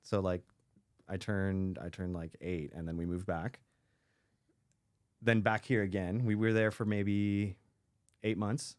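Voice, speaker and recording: Podcast guest, young man from Israel, low voice, rambly casual talk, dry close mic. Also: little pitch movement, slow pace, pauses often, no room sound. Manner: rambling, heavy use of "like" and "you know". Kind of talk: casual chat.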